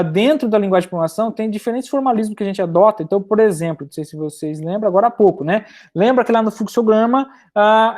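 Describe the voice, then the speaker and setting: A woman teaches a recorded programming class at an ordinary middle pitch. She explains step by step and keeps checking that her students are following. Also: darkish tone. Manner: explanatory, checks in often with "certo?". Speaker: university lecturer.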